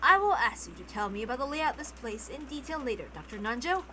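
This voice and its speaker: A woman voicing a weary old gentleman in a visual novel, polite, formal and a little faltering. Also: high-pitched, very animated, quick pace, a little hiss or hum, heavily acted.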